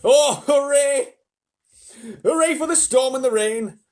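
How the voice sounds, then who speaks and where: A man voicing an excitable, boastful male centipede in a storybook, shrill and gleeful. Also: slow pace. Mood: boastful sing-song.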